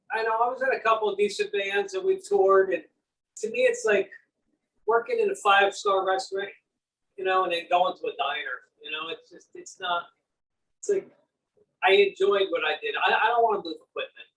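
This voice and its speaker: A man speaks in a high voice for his sex, blunt and plainspoken as a guest in a casual interview. He sits a little back from the mic, so he sounds somewhat distant. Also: very animated, moderate pace, gravelly, fairly bright tone. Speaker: guest, bass player.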